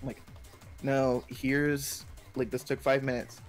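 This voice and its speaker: A young man chatting casually on a live stream, telling stories in a rambling, halting way full of filler words. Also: mid-range voice, little pitch movement, faint music behind. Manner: rambling, halting storytelling peppered with 'like'. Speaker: stream co-host.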